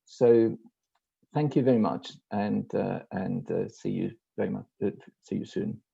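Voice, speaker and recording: Deep gravelly moderator with dull sound. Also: male voice, unhurried, dark tone, a little hiss or hum. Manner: hesitant, many fillers. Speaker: meeting moderator. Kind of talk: online meeting moderation.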